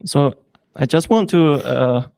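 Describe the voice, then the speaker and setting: A man's voice: on the high side, animated, slow, creaky, warm tone. A man speaking as a panelist, hesitant with many fillers. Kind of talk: panel discussion.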